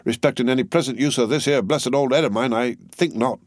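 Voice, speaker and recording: Male reader as preachy manservant: high, solemn, slow, dry audiobook sound. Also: no room sound, close to an everyday voice. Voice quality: ungrammatical working-class speech. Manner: slow, pulpit-like holding forth. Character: elderly solemn male servant, trembling, preachy.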